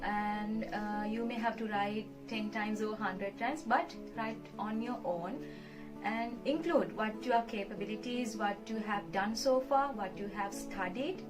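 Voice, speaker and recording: Sri woman, fairly high voice, earnest advice-giver, off-mic with loud music under her. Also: far from the mic. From Sri Lanka. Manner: earnest, repeats key advice. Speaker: scholarship recipient guest. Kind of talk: casual advice in an interview.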